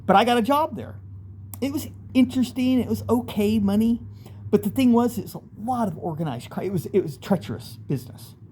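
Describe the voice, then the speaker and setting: A man's voice: high voice, animated, fairly quick, dark tone. American man rambling candidly, noisy background. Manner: rambling, candid, street-slang speech. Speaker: storyteller, channel host. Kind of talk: casual first-person storytelling monologue.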